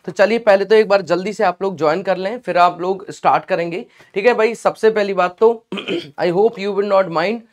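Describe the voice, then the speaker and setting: A man's voice: sits high, moderate pace. A young man with a sore throat teaching a live online class, chatty and encouraging, mixing English phrases into his talk. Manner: chatty and encouraging, mixes English phrases, addresses students as beta. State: sore throat, clearing it often. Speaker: online exam-prep teacher.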